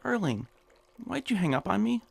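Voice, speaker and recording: An actor playing a nervous, hapless hypochondriac in an audio drama, fretful and stammering. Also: male voice, high voice, very animated, normal speed, gravelly, slightly rough, exaggerated voice. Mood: fretful, placating. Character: nervous, whiny nebbish hypochondriac man, eager and hapless. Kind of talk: audio drama acting in old-time radio suspense style.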